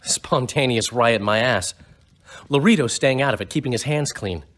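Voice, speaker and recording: An actor playing a wry, eloquent, cynical bard in a fantasy video game, with a husky voice. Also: male voice, mid-range voice, moderate pace, a bit bright, close to an everyday voice. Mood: wry, informing. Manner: wry, theatrical phrasing.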